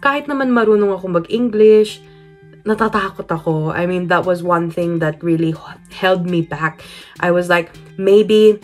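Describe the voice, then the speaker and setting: Candid Filipina woman, lowish voice. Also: normal speed, soft background music. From Philippines. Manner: candid and earnest, with frequent fillers and restarts. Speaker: vlogger. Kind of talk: personal storytelling vlog, switching between English and Tagalog. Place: indoors, small room with wooden panelling. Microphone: camera at close range, facing it.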